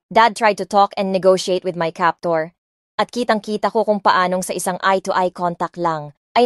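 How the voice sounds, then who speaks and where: A woman's voice: medium pitch, no room sound, studio quality, close to an everyday voice. A young woman playing a lovestruck heiress, narrating her own romance story in an emotive, dramatic way. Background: music.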